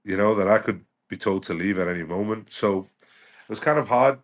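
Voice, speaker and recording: A man's voice: lowish voice, moderate pace. A middle-aged man who grew up in the UK, a doctor talking as a podcast guest in a reflective, conversational way. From United Kingdom. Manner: reflective, with frequent "you know" and restarts.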